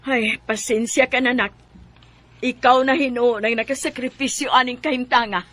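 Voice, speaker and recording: A weary mother of a poor family in a Filipino radio drama. Her voice is high and piercing, with a Cebuano accent and a scolding, worn-down manner. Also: steady tone, moderate pace, a bit bright. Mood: sorrowful, apologetic.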